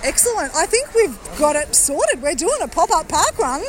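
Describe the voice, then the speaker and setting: A woman's voice: very high-pitched, sing-song, moderate pace, faint music behind. An upbeat woman hosting a podcast, reporting and interviewing on location at an outdoor event. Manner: upbeat, chatty. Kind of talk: roving field report and interview. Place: outdoors at a crowded park event. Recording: crowd chatter behind.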